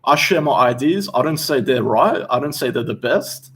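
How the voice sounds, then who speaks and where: A young Australian man, a chef, hosting a casual livestream Q&A while seated, reading out viewer questions and answering in a relaxed, chatty way. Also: medium pitch, normal speed, a little hiss or hum. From Western Australia. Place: seated indoors.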